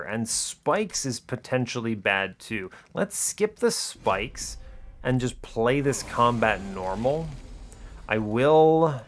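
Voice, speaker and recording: Low-voiced man talking through game strategy. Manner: self-questioning, talks through each decision. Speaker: gaming video host. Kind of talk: casual strategy game commentary, thinking aloud.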